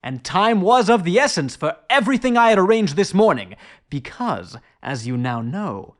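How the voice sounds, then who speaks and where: A man's voice: high voice, sing-song, normal speed. A man acting a genial, eager young aristocrat in a period audio drama, telling things in a polite, theatrical way. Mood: eager, dramatic.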